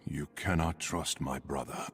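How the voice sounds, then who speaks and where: A man's voice: very deep voice, steady tone, unhurried, fairly bright tone, husky, full character voice. A man voicing a hearty, gallant warrior god prince in a video game, with grand, courtly phrasing. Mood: grave, concerned. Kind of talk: video game voice acting, dramatic dialogue.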